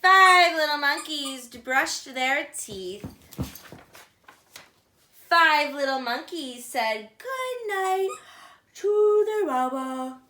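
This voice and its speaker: A woman reading a picture book aloud for young children as a storytime host, with simple, deliberate, singsong phrasing. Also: sits high, animated, sharp tone, slight background noise. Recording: echoey room.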